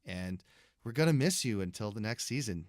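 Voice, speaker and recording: A man's voice: fairly low pitch, lively intonation, normal speed, no echo, studio quality. A man hosting a podcast, chatting casually and reading a sponsor message. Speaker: podcast host. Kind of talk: casual chat and ad read.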